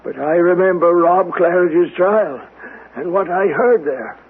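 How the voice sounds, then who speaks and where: An actor playing a proud, devout, haunted old great-uncle in a radio mystery, raspy and emphatic in old-fashioned diction. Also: male voice, sits high, a little slow, a little hiss or hum. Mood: haunted, insistent. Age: older. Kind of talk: radio mystery drama acting. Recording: thin, lo-fi old radio broadcast.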